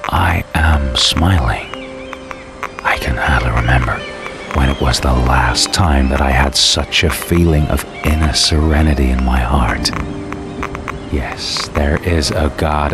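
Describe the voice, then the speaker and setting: A man playing a gritty, bitter video game antihero with a sardonic, brooding delivery. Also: rumbling low. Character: gritty, bitter, foul-mouthed male mortal antihero seeking redemption. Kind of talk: brooding first-person cutscene narration and dialogue. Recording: dramatic music behind the voice.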